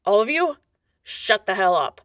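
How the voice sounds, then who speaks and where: A woman's voice: mid-range voice, big pitch swings, unhurried, no echo, studio quality. A woman playing a tough, exasperated, sarcastic wasteland sheriff in a post-apocalyptic radio drama. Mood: angry. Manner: gruff, bossy, sarcastic.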